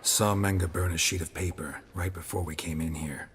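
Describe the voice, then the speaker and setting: A man playing a stoic, terse monster hunter in a video game, with a clipped, deadpan delivery. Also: sits low, normal speed, a little hiss or hum, natural voice. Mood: grim, matter-of-fact. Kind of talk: video game character acting.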